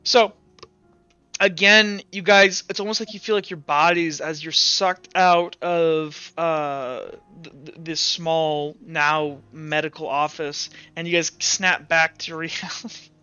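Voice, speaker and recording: A man narrating a tabletop roleplaying game as game master, informal and halting, pausing to think. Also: fairly high pitch, steady tone, bright, forceful tone, smooth, dry recording. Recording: slightly dull audio with faint music. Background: faint music.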